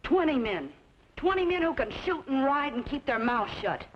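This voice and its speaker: High, swooping, ruthless frontier woman. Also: very animated, moderate pace. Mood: cold, commanding. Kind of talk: old-time radio western acting. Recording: dull, noisy old radio recording.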